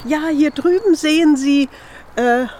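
Woman acting eager lady guide at a fairground, high voice, amateur audio play, some noise behind. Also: a little slow, darkish tone. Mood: eager, proud. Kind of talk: improvised amateur audio drama.

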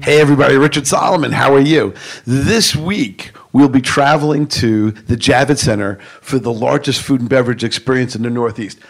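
A man hosting a radio show, chatting upbeat with quick quips while interviewing exhibitors at a trade show. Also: medium pitch, gravelly. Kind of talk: casual interview. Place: busy trade show floor. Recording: noisy convention hall.

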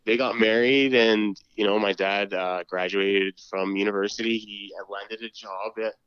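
A man answering questions as a podcast guest, his voice sitting a little low. He speaks hesitantly, restarting phrases and filling gaps with "uh", and laughs easily. The recording sounds dull and lo-fi. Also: fairly even intonation, normal speed, smooth, dry recording. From Canada. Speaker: podcast guest, student council member. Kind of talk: casual interview chat.

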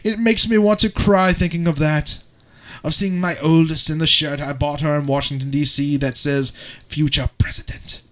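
A man voicing a grieving single mother in a theatrical, solemn English accent, with drawn-out pauses, as a dramatic reading. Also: on the high side, fairly even intonation, a bit bright, natural voice. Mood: grieving, resigned. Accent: English, put on.

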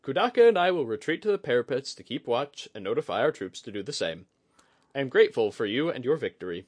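A man's voice: mid-range voice, sing-song, darkish tone, no room sound, pitched above the performer's own voice. Man playing a courteous, controlled samurai officer. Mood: falsely jovial. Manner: measured, formal, courteous. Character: diplomatic male officer, controlled, polite, placating.

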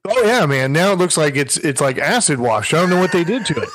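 A man's voice: on the high side, sing-song, a little fast, a bit bright, no room sound. A middle-aged man co-hosting a gaming podcast, joking and self-mocking in casual chat. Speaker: podcast co-host.

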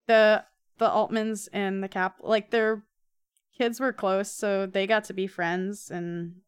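Woman with a clear, smooth voice, chatting playfully. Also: medium pitch, little pitch movement, takes it slowly. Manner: playful, jokey asides. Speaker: podcast co-host. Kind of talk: casual chat, recapping comic books.

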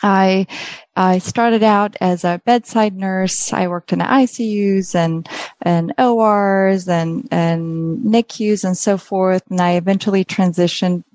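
A middle-aged woman from Poland with a Polish accent, a native Polish speaker, giving an informal educational presentation in a hesitant, halting way. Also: medium pitch, darkish tone, no echo.

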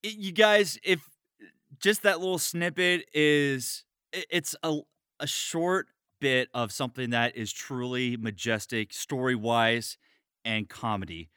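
A man hosts a casual chat podcast with a bright, smooth voice. He is excitable and bantering, swears freely, and is recorded close and dry. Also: mid-range voice, unhurried, no room sound. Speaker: podcast host.

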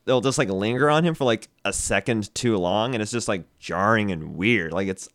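A man's voice: on the low side, moderate pace, a bit bright, no echo, studio quality. A young man from Canada, a comedian guest on a podcast, rambling casually with many "like" fillers.

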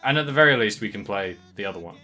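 A man's voice: on the low side, lively intonation, rapid-fire, sharp tone, soft background music. A man co-hosting a casual podcast, rambling loosely, laughing often and trailing off mid-sentence. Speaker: podcast co-host.

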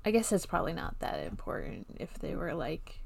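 A woman's voice: lowish voice, sing-song, a little fast, vocal fry, breathy edge. A woman co-hosting a podcast in casual, conversational chat. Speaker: podcast co-host.

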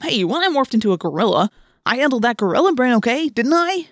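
A woman's voice: on the low side, fairly quick, creaky, no echo. Audiobook wisecracker: teenage boy played by a woman, whiny complaints, heavy sarcasm, dry close sound. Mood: grumbling. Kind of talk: audiobook character voice.

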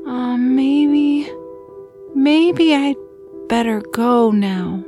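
A woman playing a sick, trusting little girl in an audio drama, soft, polite and hesitant in manner. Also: sits high, very slow, music in the background. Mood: timid, scared.

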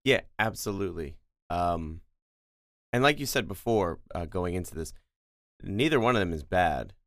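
A man co-hosting a casual music discussion, haltingly feeling out his descriptions and sounding out rhythms. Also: deep voice, expressive, fairly bright tone, dry recording, studio quality.

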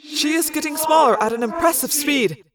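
A woman's voice: sits high, normal speed, over music, natural voice. Woman voicing a formal, earnest alien shark. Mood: matter-of-fact. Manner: formal, precise, avoids contractions. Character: earnest, literal-minded male alien in shark form.

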